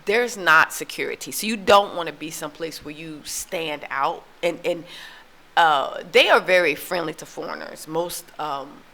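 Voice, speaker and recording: A woman's voice: sits low, moderate pace, creaky, sharp tone. An American woman living abroad, talking casually to her viewers in a rambling opinion monologue full of fillers and restarts. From United States. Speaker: relocation vlogger. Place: living in Tanzania.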